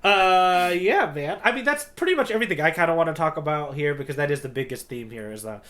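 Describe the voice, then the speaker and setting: A man co-hosting a podcast in casual, slangy chat, with an excitable manner. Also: on the high side, quick pace. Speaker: podcast co-host.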